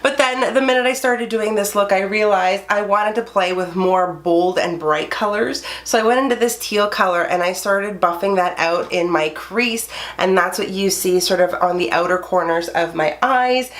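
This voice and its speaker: A woman's voice: mid-range voice, moderate pace, hardly any pauses, fairly bright tone. A woman chatting eagerly through a makeup review in her bedroom, her voice nasal and congested from a cold. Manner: enthusiastic, chatty. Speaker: beauty YouTuber. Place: her bedroom under filming lights.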